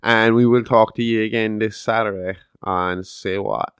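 A deep-voiced man hosts a casual podcast, joking around and swearing freely. His low voice comes across smooth and resonant. The sound is close and dry, with no room echo. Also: steady tone, normal speed, a bit bright. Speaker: podcast host. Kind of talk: casual chat. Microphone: podcast mic.

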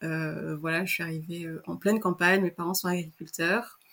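A woman's voice: fairly low pitch, level tone, moderate pace, fairly bright tone, husky. Young woman guest, casual and hesitant, lots of fillers. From Madagascar. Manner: hesitant, many fillers and restarts. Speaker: podcast guest. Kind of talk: casual interview chat.

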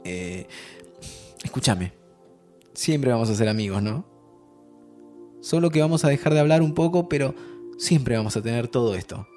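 An actor with an Argentine accent playing a warm young man who says goodbye to his friend, laughing softly and comforting him. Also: medium pitch, lively intonation, normal speed, pauses often, soft background music, close to an everyday voice. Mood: wistful. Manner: affectionate, laughing, soothing. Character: warm young male time traveler saying goodbye. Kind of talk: audio drama acting.

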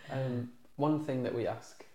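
A man co-hosting a faith podcast, measured and friendly as he asks questions and signs off. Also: medium pitch, fairly even intonation, crowd noise. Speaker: podcast co-host. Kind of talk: casual chat and sign-off. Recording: roomy echo.

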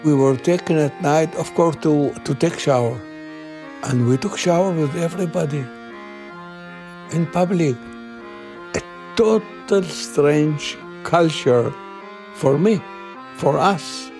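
Elderly Iraqi man, gravelly and slow. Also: fairly high pitch, darkish tone, soft background music. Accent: Iraqi Arabic. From Iraq. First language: Arabic. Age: 80s. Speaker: interviewee recalling his youth. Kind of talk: personal oral storytelling.

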